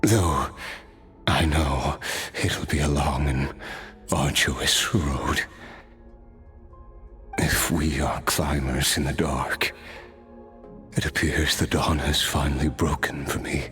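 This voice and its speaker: An actor playing a weary cursed immortal man, slow, grave and solemn as he speaks of his release. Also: very deep voice, fairly even intonation, a bit bright, faint music behind, exaggerated voice. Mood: reflective. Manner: slow, measured, reflective speech. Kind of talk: video game voice acting.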